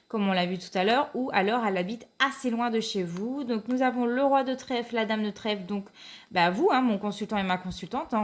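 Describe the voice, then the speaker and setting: A woman with a cold giving a weekly card reading to her viewers, hesitant and full of fillers. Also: a little high. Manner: hesitant, many fillers, repeats "tout simplement". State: has a cold. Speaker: card reader.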